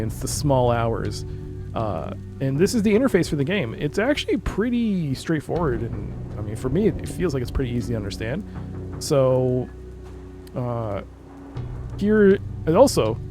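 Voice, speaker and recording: A man with a mid-pitched voice talks through a video game in a casual, explanatory way. He moves between informal chat and reading game text aloud, with music clearly playing behind him. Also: mellow. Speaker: gaming video creator.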